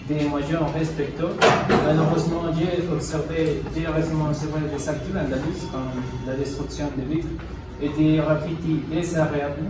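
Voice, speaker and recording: A man's voice: on the high side, natural voice. A man playing a reasonable, concerned passer-by in an amateur street interview sketch, with formal, recited phrasing. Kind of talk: amateur classroom dramatisation of TV news. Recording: very echoey room, distant mic, loud noise.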